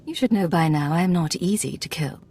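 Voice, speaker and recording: An actress playing a self-possessed imperial secret agent in a game, speaking in a composed, crisp, dry manner. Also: female voice, lowish voice, animated, a little fast, a little mellow. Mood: cool, dry. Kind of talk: video game drama acting.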